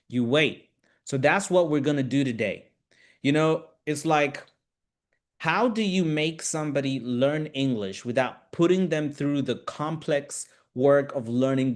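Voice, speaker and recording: Man from tanzania, english teacher, live online class, encouraging, direct, talks to viewers. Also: mid-range voice. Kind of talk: live webinar talk to viewers.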